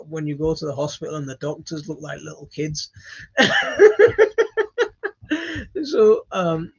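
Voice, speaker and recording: A man's voice: fairly high pitch, husky, no echo, dull audio. A man in his thirties from Leeds with a Yorkshire accent. He hosts a gardening channel and rambles through a casual live-stream chat with viewers, using lots of fillers and enthusiastic repeats. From Leeds, Yorkshire, England. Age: 30s. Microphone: phone.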